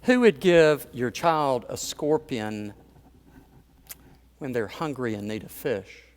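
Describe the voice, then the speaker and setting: Male pastor, conversational storyteller in the pulpit, chuckling asides, mid-pitched voice. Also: animated, takes it slowly. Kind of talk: sermon.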